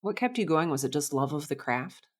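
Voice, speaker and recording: A woman's voice: sits low, animated, a little fast. A woman hosting a casual interview podcast, responding in a reflective, affirming way to her guest.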